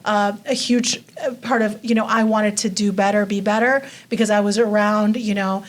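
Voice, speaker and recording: A woman's voice: medium pitch, fairly even intonation, normal speed. A woman in her forties, from Mexico, telling her life story in a casual, chatty way with many fillers. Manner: chatty, lots of fillers like "you know" and "like". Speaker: interview guest.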